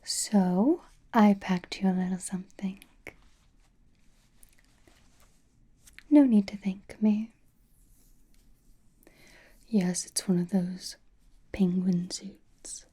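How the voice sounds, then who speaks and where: Casting: woman as coy vampire companion for ASMR roleplay, hushed breathy whisper, unhurried, flirtatious and doting. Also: mid-range voice. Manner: coy, theatrical, old-fashioned endearments, lingering phrasing.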